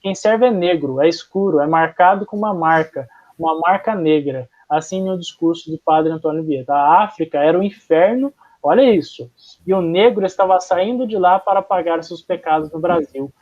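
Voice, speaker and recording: A man's voice: fairly high pitch, moderate pace, a little mellow. A young adult man from Brazil with a Brazilian Portuguese accent, giving a casual classroom history lecture full of fillers and restarts. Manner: chatty, informal, frequent fillers and restarts. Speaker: history teacher. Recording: dull, lo-fi.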